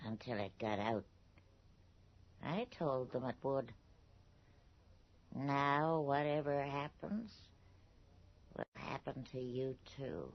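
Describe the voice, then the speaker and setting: A woman's voice: a bass voice, slow, pauses often, exaggerated voice. An actress playing an anxious, gossipy rural townswoman in a 1980s radio horror drama, speaking in a folksy, ominous way. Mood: ominous warning. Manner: folksy ungrammatical speech, hushed and ominous. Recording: dull lo-fi radio drama recording.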